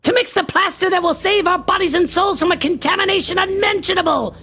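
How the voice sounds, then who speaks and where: A man's voice: extremely high pitch, brisk, sharp tone. A male actor playing a frantic, obsessed occultist in a horror radio play, declaiming in a theatrical, grandiose style. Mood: frantic urgency.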